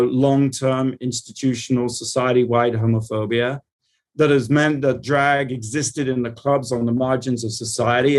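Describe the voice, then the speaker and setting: A man's voice: mid-range voice, darkish tone. A man speaking as a television producer in an interview, giving articulate, reflective and wordy answers in a conversational way.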